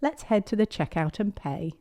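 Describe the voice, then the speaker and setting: A woman voicing a patient, kindly mother pig from a children's storybook, gently explaining and asking. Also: mid-range voice, big pitch swings, normal speed, close to an everyday voice.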